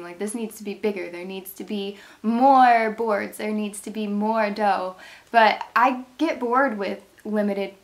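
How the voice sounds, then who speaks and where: A woman's voice: medium pitch, a bit bright. A young woman in her twenties from Ohio, stuffed up and sniffly from a cold, chatting casually through a craft product review in her bedroom. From Ohio, USA. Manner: chatty, rambling, upbeat. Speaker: craft channel host. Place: bedroom, indoors.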